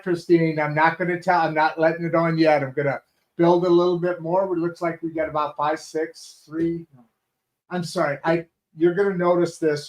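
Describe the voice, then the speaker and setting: Chatty rambling male talk show host. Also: a little high. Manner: chatty, rambling with many restarts and fillers. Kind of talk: casual rambling introduction. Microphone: video call setup from a home studio.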